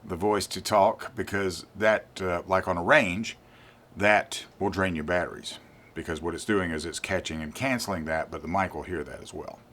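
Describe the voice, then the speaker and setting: An older man with a low-pitched voice hosts an informal gear review. He talks straight to his viewers in a deliberate, emphatic way, repeating words to drive a point home. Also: fairly even intonation, a bit bright. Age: 50s or older. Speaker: product reviewer, channel host.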